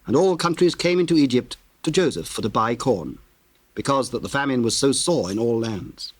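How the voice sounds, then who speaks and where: A man narrating scripture in a solemn, measured, formal way with theatrical diction. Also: medium pitch, lively intonation, no room sound.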